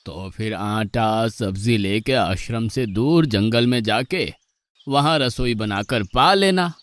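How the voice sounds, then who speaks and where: Slow-spoken stern guru played by a man. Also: on the low side. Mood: admonishing. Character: stern, pious old ashram guru, patient but exasperated. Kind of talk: animated moral story dialogue. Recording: music bed behind the voice.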